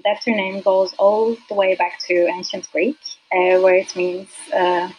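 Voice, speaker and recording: A woman whose first language is Turkish, a UX designer as a podcast guest, talking hesitantly with many fillers and soft laughs. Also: medium pitch, level tone, measured pace, highs cut off, a little hiss or hum. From Turkey. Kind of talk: casual interview.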